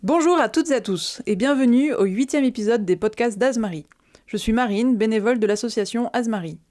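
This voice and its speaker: A woman's voice: on the high side, brisk, darkish tone. A woman presenting a podcast, giving a scripted introduction to listeners.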